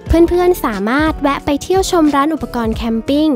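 A woman's voice: high voice, expressive, fairly quick. A woman hosting a travel vlog, giving a cheerful, friendly and polite scripted voice-over. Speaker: vlog host reviewing a cafe. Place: voice-over, not recorded on location. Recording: voice-over with clear background music. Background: background music.

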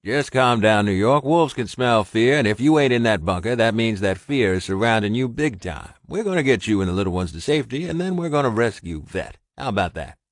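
A man voicing a gruff, commanding adult male wolf shifter who speaks loud and husky. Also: animated, a little fast, hardly any pauses, no room sound, studio quality, lightly acted. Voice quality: deep husky voice.

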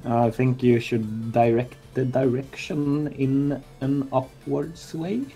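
A man's voice: mid-range voice, flat delivery, takes it slowly, mellow. A young man streaming game development, joking around in casual chat from a small room, a little music behind. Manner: playful, teasing, jokey rambling.

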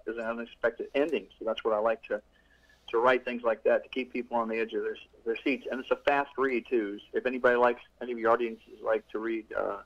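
Middle-aged guy author rambling about his book, um and uh a lot, muffled lo-fi line. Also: mid-range voice. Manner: rambling, many restarts and fillers. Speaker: author guest. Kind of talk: casual interview.

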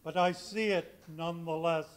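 An actor playing the mournful, stern ghost of a dead business partner, declaiming solemnly in live stage drama. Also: male voice, on the high side, steady tone, moderate pace, clean tone, close to an everyday voice. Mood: stern, cryptic. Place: live on a college hall stage before an audience.

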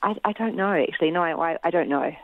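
A woman's voice: on the low side, quick pace, no room sound. A woman from New Zealand speaking as a supermarket spokesperson in a live news interview, hesitant with many fillers and restarts. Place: remote line into studio. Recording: tinny phone line.

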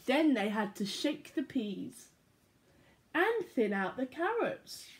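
A young woman reads children's picture books at a slow, unhurried pace, her voice swooping up and down with lively expression. She speaks from a living room into a laptop camera at arm's length, playful and clear for small listeners. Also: high voice. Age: young adult. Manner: animated, playful read-aloud with sound effects. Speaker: church children's storyteller. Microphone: laptop or phone camera at arm's length.

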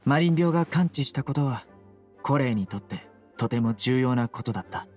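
A man voicing a stoic, proud young guardian in an anime game, terse, serious and deadpan. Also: mid-range voice, a little fast, faint music behind, lightly acted. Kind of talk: Japanese anime game voice acting.

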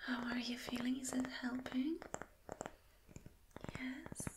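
Slow, whispering flirty boss lady, acted by a woman. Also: sits high, fairly even intonation, background noise. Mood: soothing, flirty. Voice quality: soft, breathy whisper. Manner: gentle, coaxing, slow and deliberate. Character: flirty, soothing female boss reassuring an employee. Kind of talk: soft-spoken whispered ASMR roleplay. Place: small dim indoor room.

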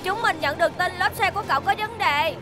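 A woman's voice: very high voice, quick pace, over music, a crowd in the background. A woman voicing a friendly, earnest young rescue helicopter robot in a children's cartoon, with a southern Vietnamese accent. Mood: urgent.

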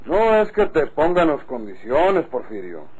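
A man's voice: fairly high pitch, sing-song, a little slow, darkish tone, rough recording. An actor playing an outspoken, cautioning countryman in an old radio drama, with a northern Mexican accent.

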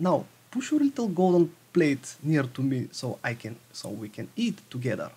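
A man's voice: on the high side, sing-song, a little fast, darkish tone, husky, exaggerated voice. A man voicing a persistent, pleading frog in a storybook tale, with quacking interjections. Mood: demanding.

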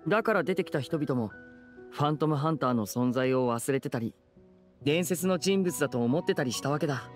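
A man's voice: a little high, lively intonation, fairly quick, soft background music, lightly acted. A man playing a polite, earnest young man in a video game, reasoning things out in a courteous, thoughtful way. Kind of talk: scripted game dialogue.

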